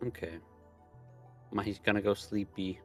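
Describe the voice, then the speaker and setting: A man's voice: on the low side, steady tone, normal speed. A man chatting casually as co-host of a gaming live stream, joking in a mock-whiny way. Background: music.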